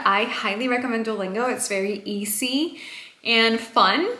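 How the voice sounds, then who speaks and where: A woman's voice: on the high side, sharp tone. A woman whose first language is Spanish, chatting to camera at home in an upbeat, encouraging way as a lifestyle vlogger. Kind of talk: casual chat to camera. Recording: roomy echo.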